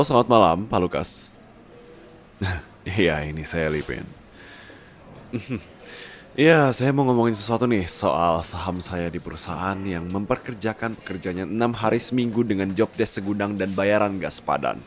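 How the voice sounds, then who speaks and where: A man playing a bossy, rich young boyfriend who is gruff yet doting and protective, in an ASMR roleplay. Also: sits low. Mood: stern, sarcastic. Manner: scolding, insistent, code-switching with English words.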